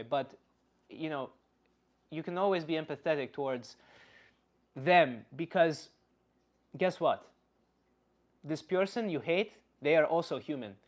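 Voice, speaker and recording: A man playing a blunt Slavic uncle, philosophizing in halting, casual livestream talk and answering chat comments. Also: a little high, close to an everyday voice. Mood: earnest, reflective. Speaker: livestream host.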